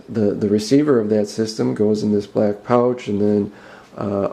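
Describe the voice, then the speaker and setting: Casting: man, low and creaky, hesitant amateur demonstrator explaining gear unscripted. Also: mellow. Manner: halting, hesitant, plain-spoken. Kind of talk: unscripted explanatory video tour. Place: indoor room with bare walls. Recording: roomy indoor echo.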